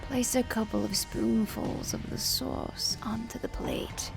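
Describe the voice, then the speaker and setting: Woman as macabre vampire countess hosting ASMR cookery: genteel, very unhurried whisper, music underneath. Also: a little high, fairly even intonation, exaggerated voice. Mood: sinister, coy. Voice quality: breathy whisper with creaky fry. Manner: slow, deliberate, genteel. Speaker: host. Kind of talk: ASMR recipe narration.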